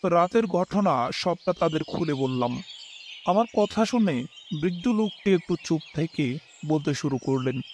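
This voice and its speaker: A man's voice: a little high, lively intonation, measured pace, darkish tone, slight background noise. A man reading a scripted first-person horror story as its narrator. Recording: music behind the voice.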